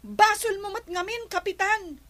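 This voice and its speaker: A woman's voice: very high voice, a little slow, fairly bright tone. A woman playing an insistent village woman who keeps blaming the captain, in a radio comedy drama. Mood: complaining.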